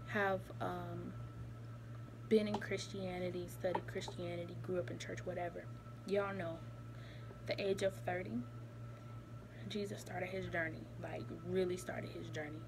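A woman's voice: on the low side, a little slow, long pauses, noisy background. Chatty woman in her thirties, phone vlog. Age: 30s. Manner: animated, chatty, repeats words for emphasis. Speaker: vlogger. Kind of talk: casual chat to camera. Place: small office room indoors. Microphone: phone camera held close.

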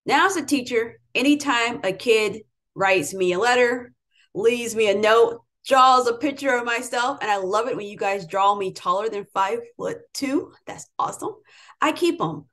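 American lady teacher giving students a pep talk, voice a bit high, stresses her key words. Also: moderate pace, fairly bright tone. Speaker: educator, interviewer. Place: home room with bookshelves, indoors.

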